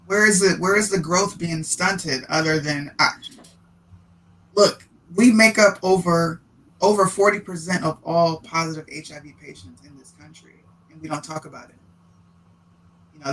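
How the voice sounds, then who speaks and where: A man's voice: on the high side, normal speed, fairly bright tone, slight background noise, rough recording. A man who hosts a podcast, making his points emphatically in a casual discussion. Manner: emphatic, argumentative. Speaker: podcaster guest.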